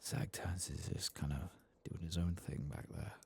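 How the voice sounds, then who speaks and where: A man softly commenting on his gameplay in half-whispered, mumbling asides, his voice lower than usual after singing, close to the microphone. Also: fairly even intonation, moderate pace. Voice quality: very deep, gravelly and husky. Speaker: ASMR gaming channel host. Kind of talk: soft-spoken gameplay commentary.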